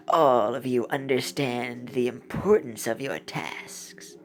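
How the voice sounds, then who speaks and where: A woman voicing an imperious, evil dark enchantress from a game, with slow, theatrical delivery. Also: a bass voice, voice pushed down. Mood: imperious, dismissive.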